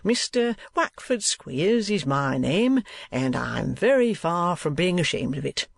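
A man's voice: a little high, big pitch swings, measured pace, gravelly, dry recording, exaggerated voice. A man voicing a boastful, hypocritical middle-aged schoolmaster with pompous, oily sales patter in an audiobook.

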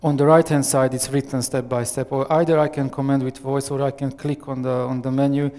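A man in his thirties with a Romanian accent gives a live software demo on a headset mic, hesitant and full of fillers. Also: mid-range voice, little pitch movement, a little fast. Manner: hesitant, many fillers and restarts. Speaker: software architect giving a demo. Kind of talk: live tech demo presentation. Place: large conference room with audience. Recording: long reverb of a large hall.

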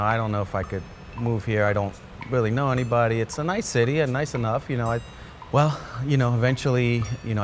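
A man, a chef giving a documentary interview in a restaurant dining room, speaking in a reflective, halting way with frequent restarts. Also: medium pitch, a little hiss or hum. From born in Colombia, raised in Queens, New York. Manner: reflective, halting, many restarts and "you know" fillers. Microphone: off-camera interview mic, seated close framing. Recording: music bed under the voice.

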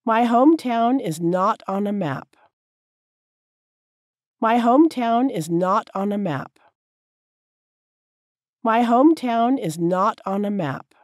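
A woman teacher reading short sentences slowly and clearly, each one said several times for learners to repeat. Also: medium pitch, expressive, no room sound, studio quality. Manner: careful, clear enunciation. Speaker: English teacher. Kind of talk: slow repeated drill sentences.

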